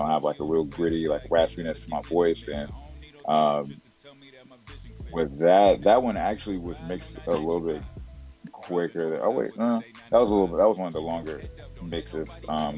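Very deep-voiced man, rambling casually. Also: mellow. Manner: rambling, filler-heavy with "you know" and "like". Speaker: rapper, interview guest. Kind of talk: casual interview about his music.

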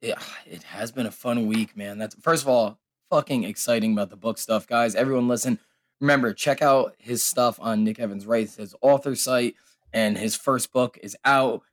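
A man hosting a podcast in casual, profane banter, his voice hoarse and a little raspy, often laughing. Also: medium pitch, moderate pace, no room sound, clean studio sound. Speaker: podcast host.

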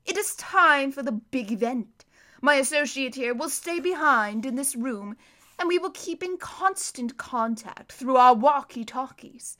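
A commanding male villain who threatens in a bright, tense voice, as a woman reader puts him on. He gives orders like a man used to getting his way in a storybook. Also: high voice, voice pushed up. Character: menacing male villain, scheming theatrical man.

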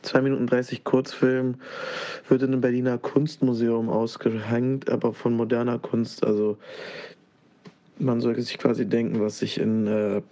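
A young man recording a voice memo in a deadpan, halting way. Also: medium pitch.

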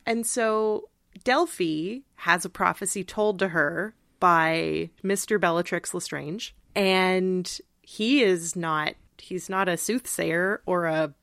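A woman's voice: on the low side, slow pace, gravelly, fairly bright tone, dry recording, clean studio sound. A woman co-hosting a podcast, explaining her points in a casual, analytical and slightly wry way. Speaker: podcast co-host.

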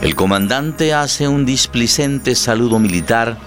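A man's voice: medium pitch, unhurried. A man reading scripted dramatic narration as the narrator of a story. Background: music.

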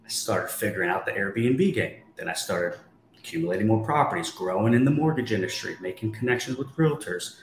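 Young man, very low voice, emphatic motivational storyteller, roomy echo, faint hiss. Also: expressive, a little fast. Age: 20s. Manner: emphatic, motivational, asks rhetorical questions. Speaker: guest, real estate investor. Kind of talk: storytelling in a podcast interview. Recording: echoey room, faint background noise.

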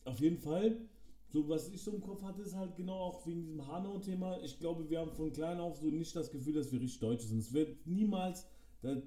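A young man co-hosting a casual podcast chat, talking in slangy, relaxed street speech and joking around. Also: a little high, fairly quick, recorded from a distance. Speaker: podcast co-host. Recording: echoey room, set back from the mic.

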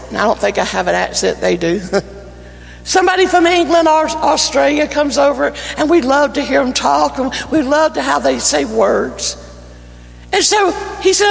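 High gravelly Southern evangelist man. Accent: Southern US, North Carolina. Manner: fervent, builds to shouting. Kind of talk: sermon with opening prayer. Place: church sanctuary. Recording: church hall reverb, faint music.

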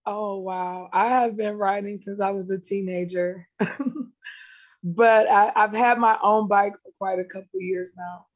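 A woman guest speaking on a community radio show over a video call. Her voice is slightly raspy, and she answers in a friendly, upbeat way. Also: medium pitch, fairly even intonation, unhurried. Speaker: guest, small business owner. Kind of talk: interview answers.

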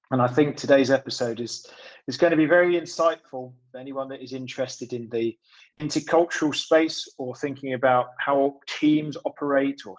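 A man with a medium-pitched voice hosts a podcast interview. His delivery is conversational and wordy, often circling and repeating words as he builds toward a point. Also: rough recording. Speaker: podcast host.

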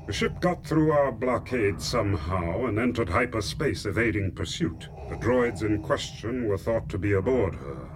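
An actor playing a grim, controlled dark Imperial lord, speaking formally and ominously in a space-opera radio drama. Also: male voice, fairly low pitch, lively intonation, normal speed, hardly any pauses, close to an everyday voice. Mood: grave, measured. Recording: radio drama over clear background noise.

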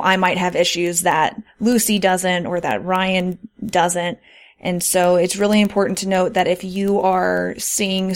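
A woman co-hosting a podcast, talking casually and explaining things in an earnest way. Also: on the low side. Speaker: podcast co-host. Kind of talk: casual conversational podcast talk.

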